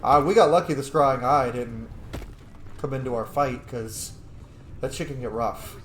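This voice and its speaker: A man's voice: mid-range voice, measured pace, echoey room, noisy background. A man giving casual let's-play commentary as a video host, playful and sassy and swearing freely.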